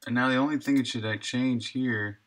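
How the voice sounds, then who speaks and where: A man's voice: mid-range voice, steady tone, fairly quick, a bit bright. An American man in his thirties chatting casually and in a laid-back, slangy way while live-streaming his game development work. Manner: laid-back slangy talk, chuckles easily.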